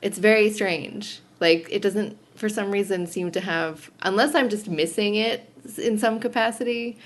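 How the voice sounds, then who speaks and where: A woman's voice: mid-range voice, normal speed. A young woman co-hosting a podcast, talking casually and laughing often, rambling with fillers. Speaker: podcast co-host. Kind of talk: casual chat.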